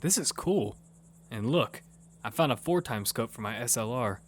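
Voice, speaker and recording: A man's voice: mid-range voice, expressive, normal speed, no echo, close to an everyday voice. An actor playing a wary young man who narrates and speaks in a battle-survival audio drama. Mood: casual, curious. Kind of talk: first-person audio drama narration and dialogue. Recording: music behind the voice.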